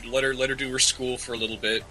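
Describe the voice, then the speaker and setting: Very bright-voiced man chatting over music. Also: medium pitch, flat delivery, poor audio. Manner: halting, repeats words, laid-back slangy phrasing. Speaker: podcast co-host. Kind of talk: casual comedic advice chat.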